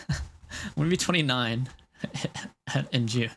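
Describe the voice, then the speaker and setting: A man's voice: medium pitch, takes it slowly, vocal fry, a bit bright, husky. A man in his late twenties casually chatting with viewers on a live stream while he draws, rambling and laughing as he goes. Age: 20s. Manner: rambling, chatty, laughs often, talks to chat.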